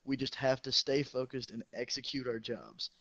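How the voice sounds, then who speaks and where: A teenage boy, a high school football player, telling stories in a casual, profane and boastful way. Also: little pitch movement, moderate pace, fairly bright tone, no room sound. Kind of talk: casual storytelling chat. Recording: dull lo-fi sound.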